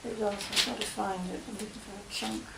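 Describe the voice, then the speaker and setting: A woman's voice: medium pitch, fairly even intonation, a little fast, gravelly. A woman in a casual discussion who chats readily and reads passages aloud. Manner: chatty, reads verse aloud. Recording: echoey room with noise.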